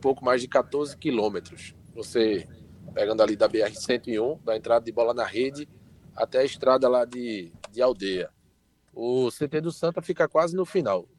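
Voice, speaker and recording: A man's voice: mid-range voice, moderate pace, slight background noise. A man reporting club news on a sports talk show, informative and conversational.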